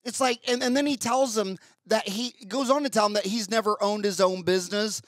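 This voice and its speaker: High-voiced ranting older man host. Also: fairly quick, no echo, clean studio sound. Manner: animated, ranting, repeats words. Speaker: podcast host. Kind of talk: casual interview chat. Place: home studio with wood-panel wall. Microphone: headset mic.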